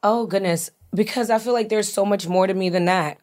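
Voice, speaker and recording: A woman, a podcast guest telling stories in an animated, lively way, acting out the voices she quotes. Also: medium pitch, a little fast. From Long Island, New York. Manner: animated storyteller who acts out quoted voices. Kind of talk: casual chat.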